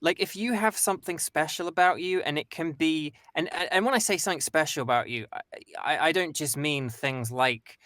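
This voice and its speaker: A man's voice: fairly high pitch, brisk, pushed and bright, dry recording, studio quality. A man voice actor, a guest on a stream, speaking thoughtfully and hedging with many "kind of"s and "sort of"s. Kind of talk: casual conversation.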